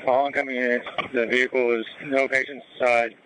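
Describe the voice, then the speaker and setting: Guy on emergency radio, super monotone, normal pitch. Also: a little fast, a little hiss or hum, poor audio. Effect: two-way radio. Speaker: emergency responder. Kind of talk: radio traffic.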